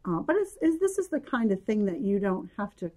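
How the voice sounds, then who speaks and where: A New woman, slightly hoarse, co-hosting a casual chat about her yarn shop, rambling along with fillers. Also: mid-range voice, big pitch swings, a little fast, warm tone. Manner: chatty, rambling with fillers and laughs. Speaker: yarn shop owner and podcast co-host.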